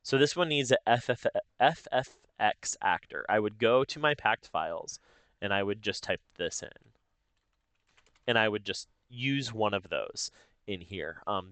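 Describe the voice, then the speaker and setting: A man with a fairly low, smooth voice walks through a software process step by step. He explains informally, as if thinking aloud, and the sound is close and dry. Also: dry recording. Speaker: tutorial host. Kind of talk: casual step-by-step software tutorial narration.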